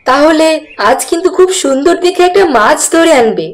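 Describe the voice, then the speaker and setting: Woman playing a high-pitched chiding village wife. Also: animated, a little fast. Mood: teasing, caring. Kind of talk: cartoon fairy-tale voice acting.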